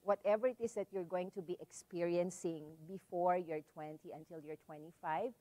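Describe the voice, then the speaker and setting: Measured Filipino woman, low and dry. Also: fairly even intonation, dark tone, dry recording, rough recording. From Philippines. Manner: measured, storytelling, frequent "so" and "um". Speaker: visiting lecturer. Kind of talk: public lecture. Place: library hall with a seated audience.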